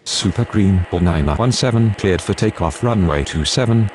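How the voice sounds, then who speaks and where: A man's voice: low-pitched, expressive, moderate pace, a little mellow. A man playing a pilot and air traffic controller, giving formal, clipped radio callouts in standard aviation phraseology. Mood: businesslike.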